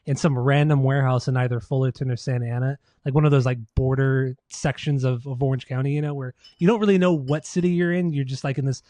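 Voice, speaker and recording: A man's voice: medium pitch, fairly even intonation, fast talker, hardly any pauses, dark tone, dry recording. An American man co-hosting a podcast, chatting casually about music in an informal, slangy, sweary way. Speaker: podcast co-host.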